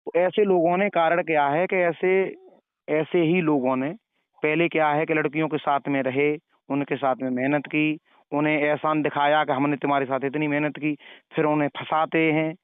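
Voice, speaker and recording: Man, clear resonant voice, moralizing phone caller who repeats himself to make points; dry, telephone-grade sound. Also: a little high, level tone, moderate pace, no echo. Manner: moralizing, preachy, repeats phrases. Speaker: caller questioning and advising the other party. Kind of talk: phone conversation. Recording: narrow tinny phone-call recording.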